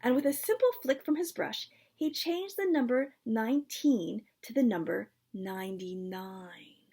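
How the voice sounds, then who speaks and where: Husky woman reading a children's story. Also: on the high side, expressive, measured pace, dark tone. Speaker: author reading their book.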